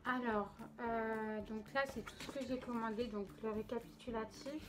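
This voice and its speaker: A woman's voice: a little high, fairly even intonation, normal speed. A young woman with a slight cold in her throat, chatting casually and giggling as she unboxes makeup. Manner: chatty, filler-heavy, giggly. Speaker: beauty vlogger.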